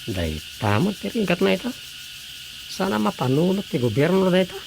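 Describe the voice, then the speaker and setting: A man's voice: high-pitched, big pitch swings, moderate pace, creaky, full character voice. A man playing a gossiping, grumbling villager in a radio drama. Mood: complaining. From Philippines. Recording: hissy noisy radio recording.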